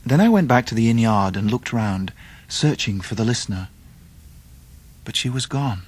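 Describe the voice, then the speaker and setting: An actor playing a reflective English gentleman who narrates his own past in measured, literary style for a radio drama. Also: medium pitch, lively intonation, natural voice. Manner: measured, literary, well-spoken. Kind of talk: scripted first-person narration in radio drama.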